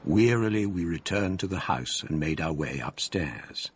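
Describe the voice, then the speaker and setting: A man giving grave, measured narration of a period ghost story for radio drama. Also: low voice, expressive, husky.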